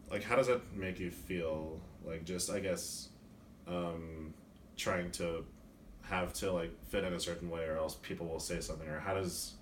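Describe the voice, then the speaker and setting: Low, flat young male interviewer. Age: young adult. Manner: frequent "mm-hmm" backchannels, rambling questions. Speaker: student interviewer. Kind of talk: class project interview. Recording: echoey room, distant mic, faint noise.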